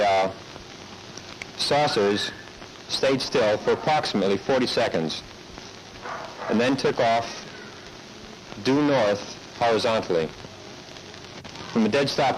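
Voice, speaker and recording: A young American man giving a stiff, formal, halting eyewitness statement on an old 1950s newsreel soundtrack. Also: fairly low pitch. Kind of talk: prepared witness statement to camera. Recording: faintly noisy 1950s film soundtrack.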